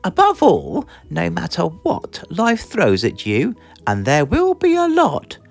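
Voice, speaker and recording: A woman's voice: lowish voice, wildly swooping pitch, slow, vocal fry, faint music behind, heavily acted. A British woman voicing a kindly, earnest grandmother grape giving advice in a storybook.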